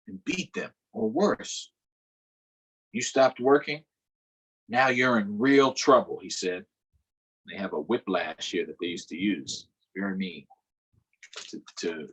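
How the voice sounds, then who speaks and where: A man's voice: sits low, breathy edge, low-quality recording. A man hosting a virtual storytime, reading a picture book aloud to children and stopping to ask them questions. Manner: expressive, asks the children questions.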